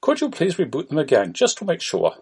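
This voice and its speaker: A man's voice: a little high, huge pitch swings, brisk, a little mellow, husky, voice pushed up, full character voice. A man voicing a polite, unflappable Indian call-centre agent with a put-on Indian accent and scripted questions. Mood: polite, unhelpful.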